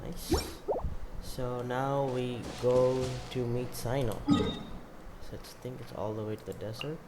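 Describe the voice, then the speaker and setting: A man's voice: mid-range voice, fairly even intonation, takes it slowly, music in the background. A man giving casual commentary as he streams his gameplay. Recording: very noisy mic with clicks.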